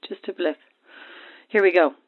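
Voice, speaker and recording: An actress playing a no-nonsense but supportive radio producer, brisk and familiar over studio talkback in an audio drama. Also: female voice, lowish voice, phone-quality sound. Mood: reassuring. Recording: thin talkback sound, faint music behind.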